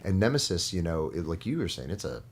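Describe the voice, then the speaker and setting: Very deep-voiced man in casual interview. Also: very animated, fast talker, vocal fry, slightly rough. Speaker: guest, stage performer. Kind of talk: casual studio interview chat. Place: studio. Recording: noticeable background noise.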